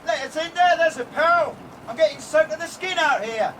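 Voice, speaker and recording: An easygoing young lodger. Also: male voice, extremely high pitch, normal speed, far from the mic, very noisy. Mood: calling out. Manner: casual, deferential, colloquial. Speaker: Harry. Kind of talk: radio drama acting.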